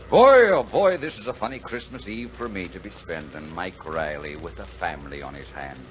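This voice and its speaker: A gruff, folksy delivery driver with a big heart, his pitch leaping and dipping as he blusters. It is old radio drama, dull in sound with a faint layer of noise. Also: expressive, a little slow. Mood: warm, jovial. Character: gruff, kindhearted Irish-American bachelor delivery driver. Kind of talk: 1940s radio drama acting. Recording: dull, noisy 1940s radio recording.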